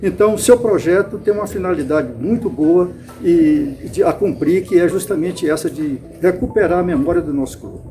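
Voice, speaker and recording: An older man from Bahia, Brazil, recalling his past in an interview, unhurried and often restarting or repeating words. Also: high voice, warm tone, a little hiss or hum, low-quality recording. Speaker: interviewee, club founder. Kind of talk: reminiscing interview.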